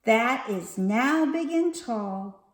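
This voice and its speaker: A woman with a high, lively voice reads a storybook to little children. She takes her time, drawing each line out in a gentle sing-song, and her voice rings in a roomy space at home. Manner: slow, gentle, sing-song read-aloud delivery. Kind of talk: reading a picture book aloud to young children.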